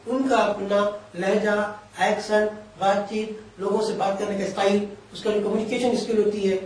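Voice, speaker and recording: A man's voice: high voice, little pitch movement, normal speed, darkish tone, distant mic. Man explaining at length in an echoey room. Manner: long explanatory sentences, Urdu-flavoured Hindi with English terms. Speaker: career guidance expert. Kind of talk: explanatory interview answers.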